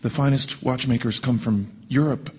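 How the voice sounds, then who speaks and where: A man's voice: mid-range voice, moderate pace, darkish tone, slightly rough, natural voice. An actor playing an affable, flighty advertising man in a radio drama, rambling and glib. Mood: chatty.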